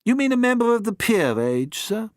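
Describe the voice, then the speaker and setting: A man's voice: high-pitched, very animated, moderate pace, dry recording, voice pushed up. A chirpy, eager young toff , as a male reader does him, gushing and easily flustered into stammers. The voice is raised and bouncy, with a close, dry sound. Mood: breezy. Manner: breezy, gushing, stammers when caught out. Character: jolly young upper-class man, eager and flustered.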